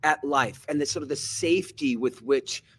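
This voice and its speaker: A man raised in Switzerland speaks as a podcast guest, reflecting on his life in a thoughtful, unhurried way. He often restarts and repeats words as he searches for what to say, in an ordinary middle-pitched voice. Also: breathy edge. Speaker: podcast guest, actor and coach. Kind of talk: reflective personal story in conversation.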